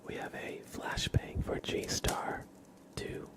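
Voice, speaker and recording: A man hosting an ASMR livestream in a breathy whisper, speaking gently with playful mock-seriousness as he acts out little roleplays. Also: takes it slowly. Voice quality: breathy whisper, mostly unvoiced.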